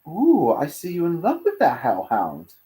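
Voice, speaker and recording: A woman's voice: low voice, huge pitch swings, unhurried, dark tone, full character voice. A woman voicing a theatrical, fussy demon prince, a protective uncle, in a story reading. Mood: fond.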